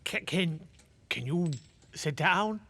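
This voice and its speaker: An actor playing a crotchety, sceptical old miser in audio theatre, querulous and theatrical. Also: male voice, high-pitched, expressive, slow, background noise, heavily acted. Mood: wary, curt.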